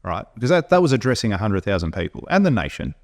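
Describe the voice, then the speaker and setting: An Australian man with a fairly low voice holds forth on a podcast, blunt and opinionated. He restarts sentences often and pushes his points in an animated way. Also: fast talker. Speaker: podcast co-host. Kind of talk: casual opinionated chat.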